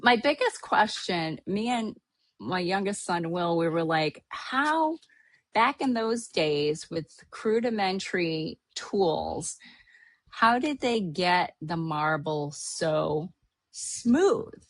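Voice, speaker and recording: A woman's voice: fairly low pitch, animated, slow pace, no echo. A middle-aged woman from Hawaii, chatting casually as a podcast host, rambling with lots of fillers and restarts. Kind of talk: casual chat recapping a trip.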